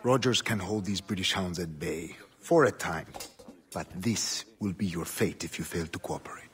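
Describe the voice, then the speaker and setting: A smooth game villain with a very low voice. He speaks with genteel politeness and quiet menace, taunting a captive. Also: normal speed. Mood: quietly menacing. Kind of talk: video game cutscene acting.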